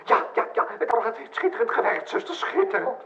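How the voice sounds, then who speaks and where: Nervous, rapid-talking notary. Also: male voice, very high voice. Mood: alarmed excitement. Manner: stammering, excitable, repeats himself. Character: nervous, fretful male notary, easily alarmed. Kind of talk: Dutch comic radio play acting. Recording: muffled, hissy old radio recording.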